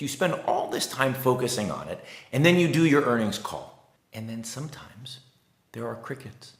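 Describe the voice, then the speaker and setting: Low-voiced American man in an echoey room. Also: a bit bright. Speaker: investor relations advisor and host. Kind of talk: explanatory talk to camera. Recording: roomy, echoing room.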